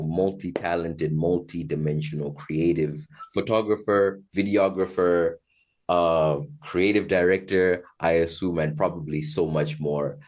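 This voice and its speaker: A man's voice: sits low, a little mellow. A man hosting a podcast in a conversational interview style. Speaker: podcast host.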